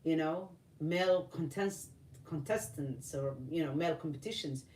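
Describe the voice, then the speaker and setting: Woman vlogger, lowish voice, hesitant and earnest, roomy off-mic sound. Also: darkish tone, distant mic, slight background noise. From Somalia. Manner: earnest, halting, many fillers and restarts. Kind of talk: opinion commentary.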